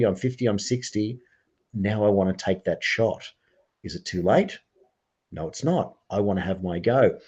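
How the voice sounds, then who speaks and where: Low-voiced, earnest man hosting. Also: darkish tone. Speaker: interview host. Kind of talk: reflective spiritual discussion.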